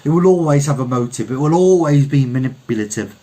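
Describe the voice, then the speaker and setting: A man voicing an old French poet who gives a forceful, insistent street oration in a story reading. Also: mid-range voice, animated, brisk, natural voice. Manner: insistent, declamatory. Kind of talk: impassioned public oration within a story reading.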